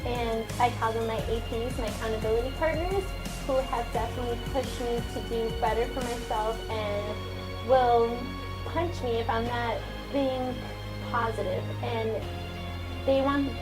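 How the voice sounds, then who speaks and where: A woman's voice: a little high, rough recording. Upbeat young woman over background music. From Mexico, raised in Chicago. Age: 20s. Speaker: university student. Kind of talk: personal testimonial. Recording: music playing under the voice.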